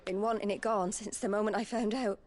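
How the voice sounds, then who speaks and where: A woman acts out a callous young-sounding village mother from a fantasy game. Her voice is average in pitch, with a blunt, resentful delivery. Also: steady tone, brisk, a little mellow, close to an everyday voice. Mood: bitter, disgusted. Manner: blunt, scornful. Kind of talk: dramatic video game acting.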